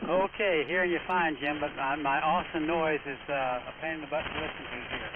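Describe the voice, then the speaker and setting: A man's voice: a little high, fairly even intonation, brisk. Male net host on noisy narrowband radio. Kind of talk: casual radio net hosting.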